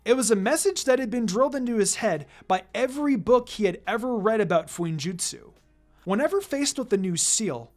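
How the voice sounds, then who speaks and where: A narrator reading a fan fiction story aloud in an expressive, scripted storytelling style. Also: medium pitch. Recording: music behind the voice. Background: background music.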